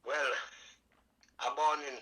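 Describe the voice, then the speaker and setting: A man's voice: mid-range voice, flat delivery, slow, pushed and bright. An older man of about ninety from Tobago with a Trinidad and Tobago accent, telling his life story in a rambling, devout, folksy way. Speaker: guest, veteran and calypso singer. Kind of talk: casual interview storytelling.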